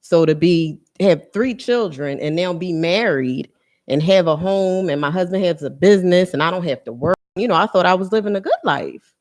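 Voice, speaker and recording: A woman in her thirties speaks as an interview guest, in a voice that sits a little low. Her talk is candid and reflective, and she often restarts or repeats a phrase as she works through a thought. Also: animated, moderate pace, darkish tone. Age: 30s. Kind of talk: candid personal conversation.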